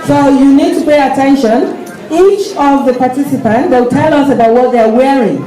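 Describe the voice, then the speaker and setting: A high-pitched female MC addresses a gathering at a community event. Her voice reaches the mic from a distance in an echoey hall, with a loud crowd around her. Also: normal speed. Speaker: event host, MC. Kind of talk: announcing to a live audience at a community event.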